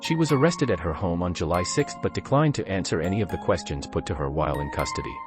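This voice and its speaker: A man's voice: low-pitched, animated, fast, music in the background. A man reading scripted narration for a true crime documentary.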